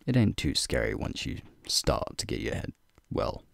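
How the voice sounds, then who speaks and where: A man playing a flirty, teasing werewolf guide in an ASMR roleplay, talking softly and playfully to the listener in a gravelly, breathy voice. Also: very animated, moderate pace, dry recording, clean studio sound, full character voice. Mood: reflective, gentle. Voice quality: deep, gravelly, breathy low voice. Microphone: close microphone.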